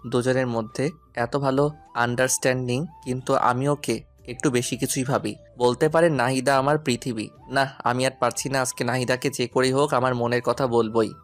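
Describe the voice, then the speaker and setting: A man plays a shy, lovesick college student telling a romantic audio story. His pitch is average for a man. Faint music plays behind him. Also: natural voice. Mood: earnest, anxious. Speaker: narrator and lead actor. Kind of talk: first-person romantic audio story narration. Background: background music.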